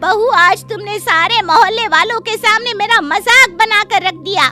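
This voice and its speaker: Lady doing fed up middle-aged cartoon saas, bright high voice, stern n nagging. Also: normal speed, soft background music. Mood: angry, scolding. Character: exasperated, scolding middle-aged mother-in-law. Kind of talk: Hindi moral story cartoon dub.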